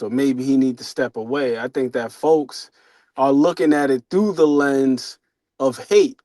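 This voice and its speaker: A man with a medium-pitched voice talks sports casually, as a co-host would. His manner is reflective and informal, with profanity mixed in. The sound is very dry, with little room around the voice. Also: no room sound. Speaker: boxing talk show co-host.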